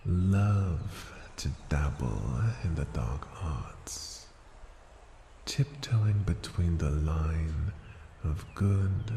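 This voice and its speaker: An ancient, imperious dragon rumbles in a very low, gravelly register. The speech is formal, unhurried and intrigued, as in a fantasy ASMR roleplay. It rings through long cavernous reverb. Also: male voice, dark tone, exaggerated voice. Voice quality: very deep, gravelly, breathy at times. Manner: slow, formal, deliberate, imperious. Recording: cavernous long reverb, faint ambient noise.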